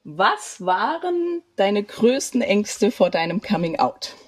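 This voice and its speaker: A woman's voice: mid-range voice, big pitch swings, takes it slowly, slightly rough, no echo. A woman hosting a podcast, interviewing in a casual, welcoming and encouraging way. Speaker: podcast host.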